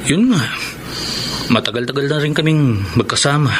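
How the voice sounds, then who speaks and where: Actor as a long-suffering boyfriend over noisy track. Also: male voice, mid-range voice, expressive, normal speed, natural voice. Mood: frustrated, confiding. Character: long-suffering live-in boyfriend, patient but frustrated office worker. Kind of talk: Filipino radio drama acting.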